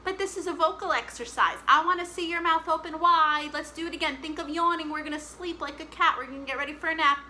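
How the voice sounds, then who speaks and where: A woman hosting an interactive musical storytime for children, talking in an animated, encouraging and playful way. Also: high voice, quick pace, hardly any pauses. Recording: clear background noise.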